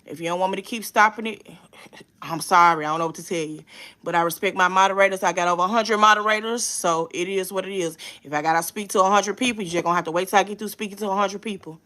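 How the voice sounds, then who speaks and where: Bright-voiced woman, profane reaction host. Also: mid-range voice, fast. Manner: profane, emphatic, streetwise. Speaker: commentary channel host. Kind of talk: casual livestream reaction chat.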